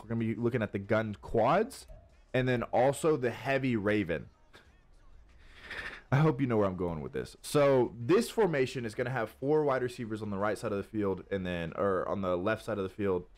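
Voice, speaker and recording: A young adult man, stuffy and nasal from allergies, excitedly rambling through a game strategy tutorial. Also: mid-range voice. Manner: hyped, rambling, Southern-tinged slang. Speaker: gaming YouTuber. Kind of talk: casual game tutorial commentary.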